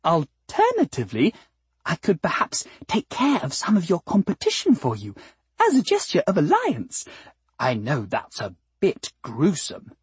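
A woman voicing a female alien rose bush in an audiobook, with a breathy, sweet voice and a polite, wheedling, nervous manner. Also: on the low side, huge pitch swings, a little slow, darkish tone, no room sound, pitched above the performer's own voice, full character voice. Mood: anxious, bargaining.

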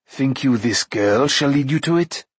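A man's voice: mid-range voice, expressive, slightly rough, dry recording. A noble, weathered warrior lord, read by a male narrator in an audiobook. The delivery is firm, careful and formal, in a grave voice, closely and drily recorded. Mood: stern. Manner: firm, slow, careful, archaic formal speech. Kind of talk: audiobook character acting.

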